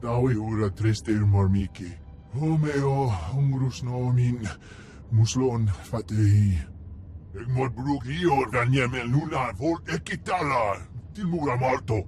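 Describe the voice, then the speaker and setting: A man's voice: medium pitch, slow pace, a little mellow, lightly acted. Man voicing a stern, menacing alien gangster. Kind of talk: video game character acting. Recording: music behind the voice.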